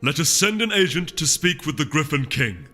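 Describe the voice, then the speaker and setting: A man's voice: on the high side, big pitch swings, normal speed, pushed and bright, faint music behind, pitched above the performer's own voice, full character voice. A man voicing a haughty, disdainful unicorn duke in a storybook reading, with a pompous, speechifying manner. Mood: measured, persuasive. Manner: pompous, oratorical.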